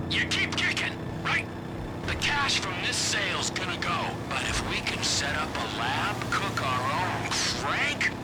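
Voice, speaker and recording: A man playing a volatile, sarcastic middle-aged drug boss in a video game, ranting erratically and bursting into shouts. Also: extremely high pitch, heavily acted, low-quality recording. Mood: manic, boastful. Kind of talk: video game character acting.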